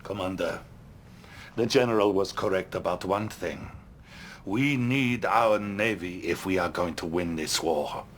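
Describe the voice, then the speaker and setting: A man playing a smooth, scheming Soviet premier addressing his commander. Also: sits low, measured pace, a little hiss or hum. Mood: cool.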